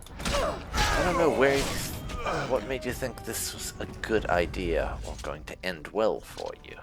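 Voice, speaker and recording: Casting: male gaming host, very low gravelly voice, wry and musing casual commentary over game music. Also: huge pitch swings, a little slow.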